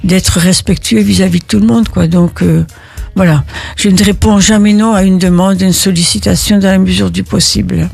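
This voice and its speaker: Older woman from Italy, gravelly and husky, halting interview answers with many restarts. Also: medium pitch, fairly even intonation, darkish tone, no echo, soft background music. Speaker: interviewee, neighborhood volunteer.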